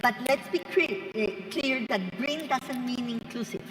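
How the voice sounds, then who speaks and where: A woman's voice: fairly high pitch, lively intonation, unhurried, fairly bright tone. Lady w on a panel, emphatic, far from the mic in a big echoey hall. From Costa Rica. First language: Spanish. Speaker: conference panelist. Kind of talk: impromptu panel discussion. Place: large conference hall. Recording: distant mic in echoing hall.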